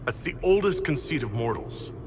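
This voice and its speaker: A man's voice: mid-range voice, sing-song, normal speed, heavy background noise, lightly acted. An actor playing a skeptical, challenging male hero in a fantasy video game. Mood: defiant. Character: defiant male hero, the Herald, held captive in his mind. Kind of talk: dramatic video game acting.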